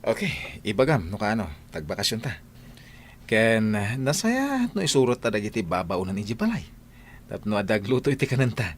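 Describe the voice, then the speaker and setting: An actor playing a breezy, pleasure-loving employer of maids in an Ilocano radio comedy. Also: male voice, on the low side, sing-song, brisk, breathy edge.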